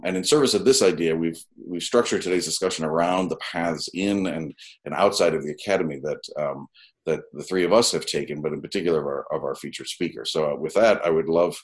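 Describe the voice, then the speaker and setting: Super deep voiced guy hosting a uni panel on a video call, slow formal, lots of uhs. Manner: measured, many ums and uhs. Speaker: graduate school dean hosting a panel. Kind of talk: formal welcome remarks.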